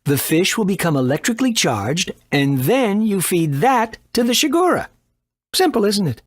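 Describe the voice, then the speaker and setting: An actor playing an eccentric, boastful doctor and inventor in a video game, brusque and quick to scold. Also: male voice, high voice, big pitch swings, dry recording. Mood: brisk. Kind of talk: animated video game voice acting.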